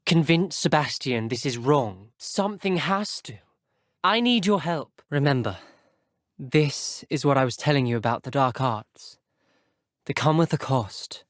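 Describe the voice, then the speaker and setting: A man's voice: medium pitch, animated, unhurried, no echo, clean studio sound, lightly acted. A young man with a British accent voicing an earnest, guarded teenage wizard schoolboy in a video game, speaking in clipped, formal phrases. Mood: grave, resolute. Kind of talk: video game character dialogue.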